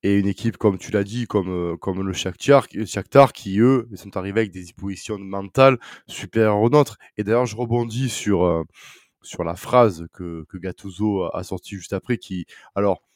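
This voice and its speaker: A man's voice: lowish voice, moderate pace, a little mellow, no echo, clean studio sound. Hesitant man hosting a podcast. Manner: hesitant, many fillers and restarts. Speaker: podcast host. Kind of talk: casual football chat.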